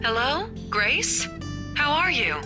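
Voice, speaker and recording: An actress playing a gentle, reassuring middle-aged mother with a tired lilt, in a visual novel game. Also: sits high, sing-song, slow, over music, exaggerated voice.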